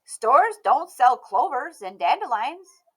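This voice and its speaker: A woman voicing a matter-of-fact male garden store clerk in a storybook, brisk and instructive. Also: high-pitched, big pitch swings, fairly bright tone, husky, pitched above the performer's own voice, exaggerated voice.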